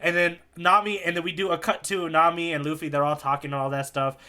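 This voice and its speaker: A man's voice: on the high side, flat delivery, fast talker, sharp tone. A man co-hosting a podcast in informal, casual chat, circling around his point with repeated words. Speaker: podcast co-host.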